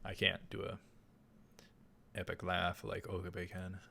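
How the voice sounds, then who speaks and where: A man's voice: sits low, monotone, unhurried, vocal fry, pushed and bright, slight background noise. A man reading a visual novel aloud as a let's player, dramatizing the narration. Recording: music behind the voice. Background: game music.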